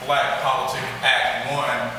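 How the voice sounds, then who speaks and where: A man from the Congo speaking up in the audience at a gallery talk, thoughtful and unhurried, often adding "right?". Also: mid-range voice, level tone, background noise. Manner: thoughtful, slow with tag questions. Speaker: audience member. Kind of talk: reflective comment from the floor. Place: art gallery. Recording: echoey gallery room, far from mic.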